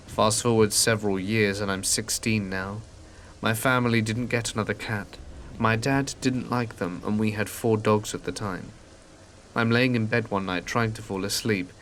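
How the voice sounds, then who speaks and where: Low-voiced man narrating over faint rain. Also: fairly bright tone, a little hiss or hum. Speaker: narrator of submitted stories. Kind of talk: calm scripted horror narration. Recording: faint rain ambience behind.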